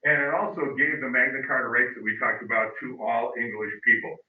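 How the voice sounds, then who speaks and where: Male history teacher lecturing loudly and teasingly through a mask, caught from across the room on a thin, phone-quality recording. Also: medium pitch, flat delivery, brisk. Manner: loud, joking, teasing students. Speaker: high school history teacher. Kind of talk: classroom lecture. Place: classroom. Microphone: masked, recorded from across a classroom. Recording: echoey room, far from the mic, phone-like sound.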